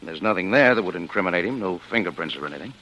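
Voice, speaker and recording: An actor playing a wry, skeptical homicide lieutenant in a 1940s radio drama, with a sardonic, needling, colloquial delivery. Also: male voice, deep voice, lively intonation, rapid-fire, bright, forceful tone, exaggerated voice. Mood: matter-of-fact. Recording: dull, lo-fi vintage radio recording.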